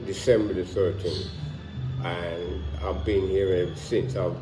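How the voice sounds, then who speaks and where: A man's voice: sits low, a little slow, roomy sound, over music. A man in his sixties with a London accent talking casually to camera in a vlog, rambling with many restarts. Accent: London English. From London, England. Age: 60s. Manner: rambling, stops and restarts, relaxed. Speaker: vlogger. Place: warm room at home, in Colombia.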